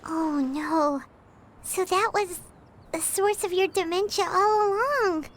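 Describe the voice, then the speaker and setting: An actor plays a small, anxious child from a video game. The voice is very high and slow, and every line comes out as a worried, eager question. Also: a bit bright, a little hiss or hum, heavily acted. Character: young child, anxious and curious.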